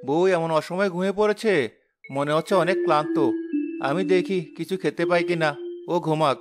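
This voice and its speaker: Man voicing a high-pitched, puzzled village husband. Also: brisk, over music, close to an everyday voice. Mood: mild, puzzled. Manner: questioning, wondering aloud. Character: mild, puzzled, suspicious young village husband. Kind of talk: Bengali cartoon story voice acting.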